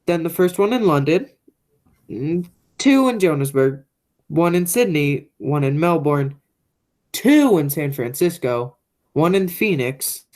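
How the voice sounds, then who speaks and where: A low-pitched, menacing criminal brother in a radio play, acted by a teenage woman. He is stubborn and bossy, insisting on getting his way. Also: animated, a little slow, a little mellow. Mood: stubborn, boastful. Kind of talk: radio play acting, high school theatre.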